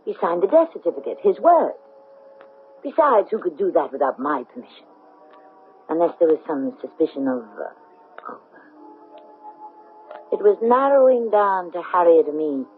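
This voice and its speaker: Casting: calculating villainess narrating a vintage radio mystery, low voice, thin broadcast sound. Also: female voice, very animated, moderate pace, long pauses, narrow, tinny audio, soft background music. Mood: tense, calculating. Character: scheming, calculating murderess confessing her plot. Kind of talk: first-person radio drama narration. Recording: thin band-limited 1970s radio broadcast.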